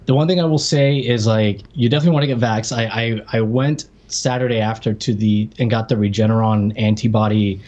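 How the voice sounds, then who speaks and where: A man's voice: lowish voice, steady tone, brisk, few pauses, darkish tone, lo-fi sound. An American man co-hosting a podcast, chatting casually as he tells personal anecdotes and acts out what people said. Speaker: podcast co-host.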